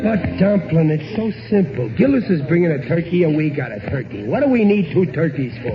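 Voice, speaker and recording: High-voiced blustering sitcom husband. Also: male voice, big pitch swings, a little fast, loud music behind the voice. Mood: smug scheming. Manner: pompous malapropisms, cocky scheming. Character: blustering, dim, big-hearted working-class husband and father. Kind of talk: 1940s radio sitcom acting. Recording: old muffled radio broadcast, noisy hiss.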